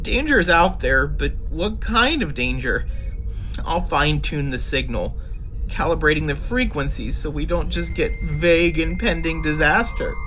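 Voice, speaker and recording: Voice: mid-range voice, expressive, normal speed, a bit bright, soft background music, lightly acted. An actor voicing an anxious, easily panicked space crew member, fretful and dramatic, in a comedy radio drama. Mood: nervous. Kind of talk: sci-fi comedy radio acting.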